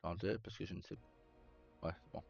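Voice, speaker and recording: A man live streaming, casually telling roleplay stories in a rambling, halting way with pauses mid-sentence. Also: low voice, fairly even intonation, vocal fry, darkish tone, breathy edge. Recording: slightly muffled, roomy, faint music. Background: background music.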